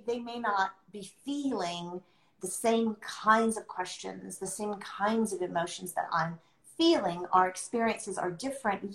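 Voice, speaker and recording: Middle-aged woman, podcast host. Reflective, carefully phrased conversational talk, mid-range voice, audibly echoey room. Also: mid-range voice. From born outside the US, adopted into America. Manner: earnest, deliberate phrasing with pauses. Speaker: podcast host sharing her experience as an adoptive mother. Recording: roomy, echoing sound.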